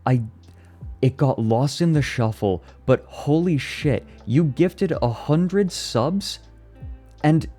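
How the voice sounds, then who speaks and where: A man's voice: on the high side, expressive, slow, warm tone, faint music behind. A young man chatting casually on a live stream, reading and answering his chat and laughing often. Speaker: VTuber streamer.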